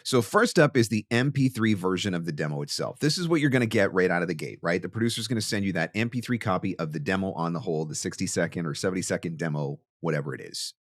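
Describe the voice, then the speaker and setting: A man's voice: sits low, lively intonation, fast talker, few pauses, no echo, clean studio sound. A man hosting a podcast, giving marketing advice in an emphatic, conversational way and speaking straight to the listener. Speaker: podcast host; voice actor.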